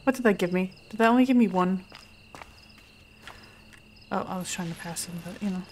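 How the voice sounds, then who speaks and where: A woman's voice: mid-range voice, brisk, darkish tone, a little hiss or hum. A woman casually talking through a video game as she plays, chatty and muttering to herself. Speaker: gaming streamer. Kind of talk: casual let's-play commentary.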